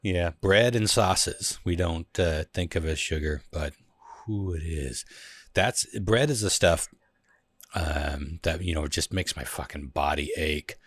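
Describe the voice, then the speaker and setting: A man's voice: sits low, a little slow, dry recording. A man hosting a podcast, chatting casually and swearing freely, from the Pacific Northwest of the US. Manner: relaxed, swearing often. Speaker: podcast host. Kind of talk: casual chat.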